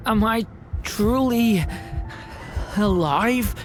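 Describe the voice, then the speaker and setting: A woman voicing a gentle, uncertain young boy in a video game, speaking hesitantly and earnestly. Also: a little high, drawn-out words, over music. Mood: weak, lost. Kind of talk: scripted video game voice acting.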